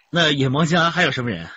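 A measured, probing detective. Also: male voice, a little high, lively intonation, pushed and bright, husky, no echo, exaggerated voice. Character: steady male detective, probing questions. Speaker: police detective. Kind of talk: broadcast radio drama acting. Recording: dull lo-fi broadcast audio.

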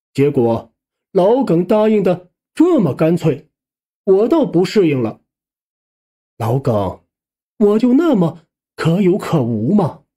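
A man narrating a scripted first-person suspense audiobook in a conversational, expressive way. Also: sits high, husky.

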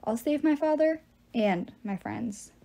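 An earnest storybook teenage girl, acted by a woman narrator, with a high voice. She is emotional and defiant, quick to plead or challenge. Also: animated, gravelly, dark tone, full character voice. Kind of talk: audiobook character voice.

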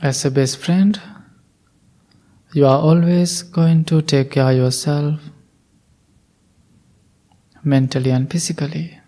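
Slow, soft-spoken male meditation guide. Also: on the high side. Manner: soft, calm instructions with long pauses. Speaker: Buddhist monk leading meditation.

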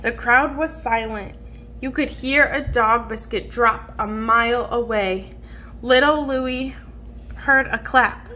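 A woman with a high voice reads a storybook aloud to a small child. She goes slowly and expressively, stretching words for a young listener. The home phone recording has some faint noise. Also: bright, forceful tone. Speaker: picture book reader to a small child. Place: indoors at home on a dark wood floor.

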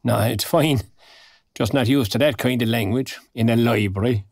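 A man's voice: medium pitch, moderate pace, dry recording, clean studio sound, lightly acted. An actor playing a proper, old-fashioned retired Irish working man, older and plain-spoken, in an audio drama. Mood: mild, proper. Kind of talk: naturalistic audio drama dialogue.

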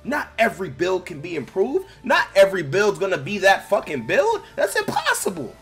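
A man's voice: sits high, huge pitch swings, moderate pace, fairly bright tone, husky. A young American man giving casual, sweary gaming commentary with a slangy, venting manner. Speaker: gaming video creator commenting on clips. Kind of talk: casual, profanity-laden commentary. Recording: music playing behind voice. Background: music.

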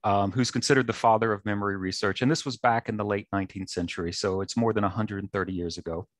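Low-voiced man with a faint Southern accent. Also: steady tone. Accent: light Southern American. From North Carolina, USA. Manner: measured, lecturing, with frequent ums. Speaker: researcher guest. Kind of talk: explanatory interview talk. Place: office with bookshelves and whiteboard. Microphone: headset on a video call.

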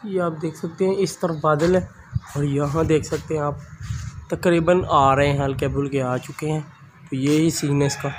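A man's voice: on the high side, fairly even intonation, moderate pace. A young man, vlogging in a casual, rambling way to a phone held at arm's length, first on a rooftop and then in a small room. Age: young adult. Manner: rambling, conversational, talks straight to the camera. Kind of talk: casual vlog chat. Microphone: handheld phone camera at arm's length. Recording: noisy phone recording with outdoor ambience.

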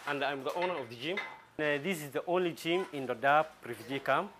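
A man in his twenties from Somalia, a gym owner talking in a documentary interview, speaking halting with many restarts, in a small metal-walled gym. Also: on the high side, background noise. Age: 20s. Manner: halting non-native English with restarts.

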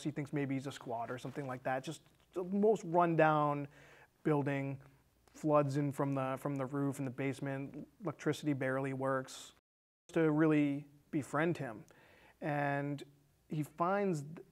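An American man in his thirties talks through a movie in a casual, conversational way. His voice sits a little higher than average, and he tends to circle back and repeat himself as he explains. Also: a little mellow. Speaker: film discussion show co-host. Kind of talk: casual conversational film recap. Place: small indoor studio set with curtain.

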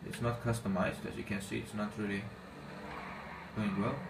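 A man whose first language is Armenian, giving casual commentary on his gameplay in halting English with many fillers. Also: lowish voice, level tone, vocal fry. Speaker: gaming channel host. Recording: echoey room, distant mic, faint noise.

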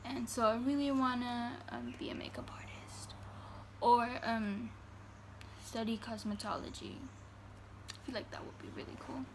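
A young woman in her teens, a vlogger from Germany, answering fan questions in casual chat in her bedroom, full of fillers and reading each question out slowly. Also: a little high, fairly even intonation, whispered. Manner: chatty, filler-heavy, reads questions slowly. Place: bedroom indoors. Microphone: phone camera at arm's length.

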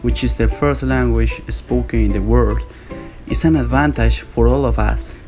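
A man's voice: medium pitch, fairly even intonation, normal speed. A man narrating a scripted voice-over in an earnest tone, with slightly non-native phrasing. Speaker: narrator and video maker. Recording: voice-over with soft guitar music.

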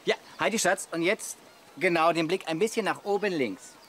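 An actor playing a smooth-talking, bossy advertising photographer with a gushing, directive manner in a comic radio play. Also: male voice, high voice, normal speed, fairly bright tone, slight background noise. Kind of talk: German radio play acting.

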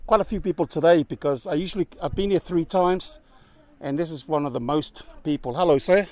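A man's voice: a little high, fairly quick, darkish tone. Chatty New vlogger man. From New Zealand. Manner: upbeat, chatty, laughs often. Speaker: travel vlogger. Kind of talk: casual chat with passers-by. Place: outdoor mountain lookout. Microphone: camera at arm's length.

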